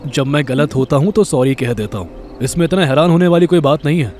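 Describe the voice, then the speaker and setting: A man's voice: on the high side, a little fast, a little mellow, faint music behind, natural voice. A man playing a stern, guarded but secretly caring young man in an audio drama, speaking in a restrained, matter-of-fact way. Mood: calm, curt. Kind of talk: Hindi audio horror drama acting.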